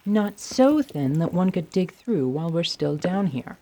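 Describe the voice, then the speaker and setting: A woman playing a gruff, wry female winged warrior in a radio theatre drama, speaking tersely and formally. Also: lowish voice, very animated, unhurried, dark tone, exaggerated voice. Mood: dry command. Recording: clear background noise.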